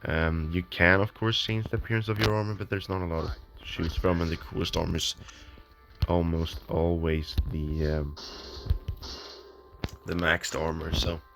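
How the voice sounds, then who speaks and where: A man's voice: low-pitched, level tone, normal speed, gravelly, fairly bright tone, soft background music. A man thinking aloud while he plays an online game, hesitant and full of ums, his voice a little off from the early morning. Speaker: gamer. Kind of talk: casual gameplay commentary. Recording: noisy home recording with clicks.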